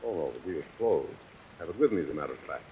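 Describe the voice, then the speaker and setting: A man acting as a gruff, wealthy old boss in a 1940s radio drama, brusque and offhand. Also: very deep voice, animated, fast, warm tone. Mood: offhand, proud. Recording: dull, hissy vintage radio recording.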